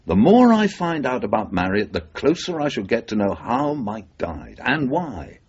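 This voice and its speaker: An actor playing a driven, persuasive freelance journalist in a radio thriller, speaking with insistent emphasis. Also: male voice, medium pitch, very animated, moderate pace, darkish tone, breathy edge. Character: driven, persuasive male freelance journalist, obsessed with a suspicious death. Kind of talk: radio drama acting. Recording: muffled 1980s radio drama recording.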